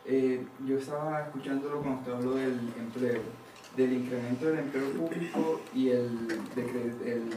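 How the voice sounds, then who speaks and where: Colombian male audience member, polite careful questioning, mid pitch, noisy room. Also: steady tone, measured pace. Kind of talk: polite question at a public forum. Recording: echoey room, distant, noisy.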